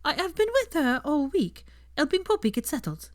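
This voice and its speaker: Woman voicing an emotional, high-pitched, pleading young wife. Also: very animated, no echo, clean studio sound. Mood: earnest, nervous. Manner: halting, formal phrasing without contractions, breaks off mid-sentence. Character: emotional, guilt-ridden young married woman, earnest and pleading.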